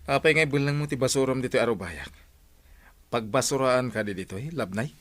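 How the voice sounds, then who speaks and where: A man's voice: mid-range voice, animated, fairly quick, fairly bright tone, dry recording, natural voice. A man playing a stubborn, aggrieved working villager who complains emphatically in a comedy radio drama. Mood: indignant.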